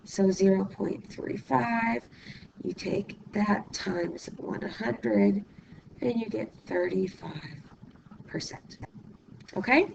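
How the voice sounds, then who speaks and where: A hoarse woman teaching math, her voice very gravelly and rough from illness. She walks through each step patiently, pausing as she writes and checking that students follow. Steady background noise sits under the recording. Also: medium pitch. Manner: patient step-by-step explaining, asks "okay?"; writes while talking, pausing mid-sentence. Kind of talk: recorded classroom lesson.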